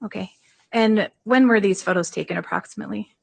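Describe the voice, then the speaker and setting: A woman prosecutor questioning a witness in a courtroom, soft-spoken and polite. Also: mid-range voice, brisk, vocal fry.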